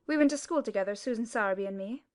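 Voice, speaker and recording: A woman's voice: on the high side, rapid-fire, lightly acted. A woman playing a plain-spoken, gossipy housekeeper in an audiobook dramatic reading, with homely colloquial speech. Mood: chatty, confiding.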